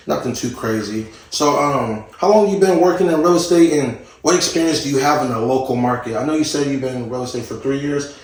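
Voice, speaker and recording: A man's voice: fairly high pitch, heavy reverb, rough recording. Slangy young man hosting a podcast. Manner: slangy, laid-back, hypes the guest. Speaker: podcast host. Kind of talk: casual chat.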